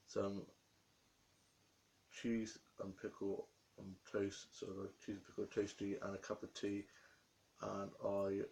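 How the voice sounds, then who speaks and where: A young man with a croaky voice from a cold, rambling through a diary vlog about his day. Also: on the low side, a little mellow, poor audio. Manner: rambling, run-on storytelling. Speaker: vlogger.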